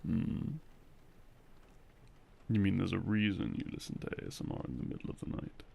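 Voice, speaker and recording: A man playing a loving, gently teasing boyfriend in an intimate ASMR roleplay, speaking softly and close to a binaural microphone. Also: low-pitched, lively intonation, normal speed, gravelly, slightly rough, exaggerated voice. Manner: soft, hushed, close and coaxing. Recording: close binaural recording, faint noise.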